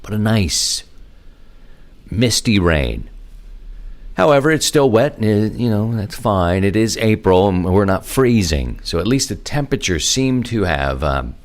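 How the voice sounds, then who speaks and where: Low-voiced man hosting a podcast. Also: expressive, a little slow. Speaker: podcast host. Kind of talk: casual solo podcast talk.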